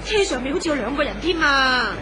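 A woman playing an excitable, fretful bystander in a 1970s radio drama, exclaiming in a Hong Kong Cantonese accent. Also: sits high, fairly quick. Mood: alarmed. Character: alarmed, excitable female bystander. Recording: loud crowd and noise, old radio.

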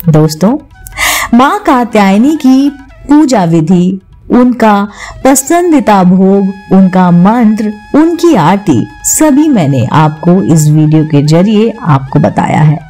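A woman narrating a scripted devotional programme and reciting prayers in a measured, deliberate way. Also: medium pitch, sing-song, warm tone. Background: music.

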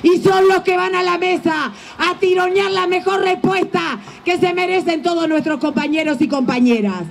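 A middle-aged woman with an Argentine accent giving an impassioned, forceful rally speech outdoors into a handheld microphone, as a union leader. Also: very high-pitched, normal speed, vocal fry. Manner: impassioned, forceful shouted oratory. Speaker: teachers' union leader and legislator. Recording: outdoor microphone with faint noise.

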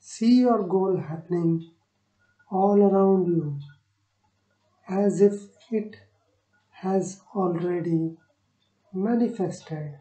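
Woman, meditation guide, slightly low pitch, soothing phrases, long pauses, roomy echo. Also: mellow. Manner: slow, soothing delivery with long pauses between short phrases.

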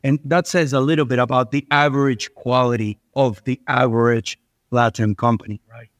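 A man's voice: mid-range voice, fairly even intonation, normal speed, no echo. Venezuelan-born man, dry close sound. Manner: thoughtful, many restarts and repeated words. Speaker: guest investor. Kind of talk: conversational podcast interview.

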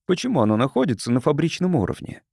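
Man reading as an inquisitive visitor from Earth, lively intonation. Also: fairly high pitch, quick pace, vocal fry, husky, no room sound, studio quality, voice pushed up, exaggerated voice. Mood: curious. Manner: questioning, probing, polite. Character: inquisitive, persistent male visitor from Earth, a sociologist. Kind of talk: audiobook character reading.

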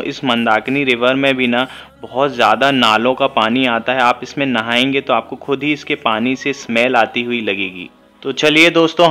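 Bright-voiced male travel vlogger. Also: medium pitch. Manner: chatty, addresses viewers as friends, gives tourist tips. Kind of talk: casual travel vlog narration. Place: outdoors at a riverside temple area.

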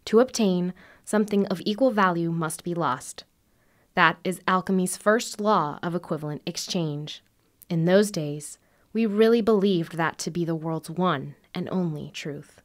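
A woman voicing a gentle, earnest young boy alchemist in anime narration style. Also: on the low side, measured pace, lightly acted. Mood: solemn.

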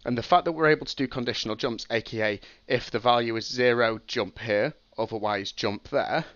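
A man's voice: mid-range voice, a little fast, a bit bright, dry recording. A young man talks through a design on a casual live stream, thinking aloud and correcting himself as he goes. He has a cold and sounds sniffly. The sound is dry and a bit dull, as from a home streaming setup. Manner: thinking aloud, many ums and restarts. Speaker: streamer teaching game programming.

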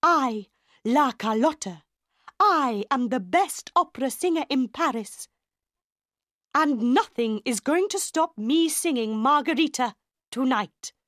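A woman's voice: a little high, sing-song, takes it slowly, hardly any pauses, no room sound, studio quality, pitched above the performer's own voice. A woman voicing a vain, proud opera singer who speaks in a raised, haughty way in a storybook reading. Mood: indignant.

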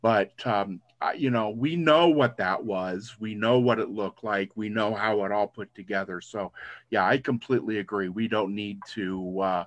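A man talks in a lowish voice, earnest and halting, filling gaps with many ums and uhs. The sound is dry and close, as on a video call. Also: no echo. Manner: earnest, halting, lots of fillers. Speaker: call participant.

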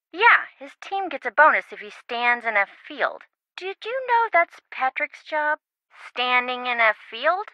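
A woman voicing a quirky, sarcastic, excitable young reality-show contestant in a video game, with playful theatrical quips. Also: fairly high pitch, no room sound, dull audio. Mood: wry, chatty.

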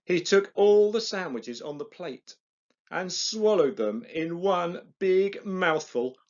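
Man, children's storyteller, slow deliberate delivery, dramatic pauses, very animated intonation, slightly muffled recording. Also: high-pitched. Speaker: storyteller on an early years channel. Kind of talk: expressive children's picture book reading.

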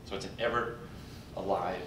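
An older man speaking at a podium in a large conference hall, introducing a colleague in a folksy, halting way with pauses. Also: steady tone. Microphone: podium mic, recorded from afar by a computer. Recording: distant echoey hall recording with background rumble.

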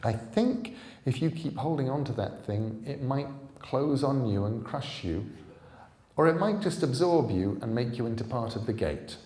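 A man's voice: medium pitch, lively intonation, moderate pace, a little mellow, pitched above the performer's own voice, lightly acted. A man voicing a self-possessed, defiant boy with a cool, matter-of-fact manner in a story reading. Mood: calm, resolute. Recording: echoey hall, public reading.

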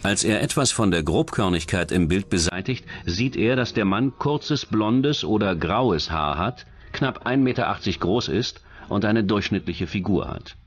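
A man's voice: fairly low pitch, animated, unhurried, few pauses, breathy edge. A man reading scripted true-crime documentary narration.

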